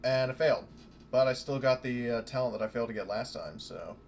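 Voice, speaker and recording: A man's voice: medium pitch, fairly quick. A man talking casually and swearing now and then as a player in a tabletop roleplaying session. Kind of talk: casual game-session chat. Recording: clearly noisy background hiss.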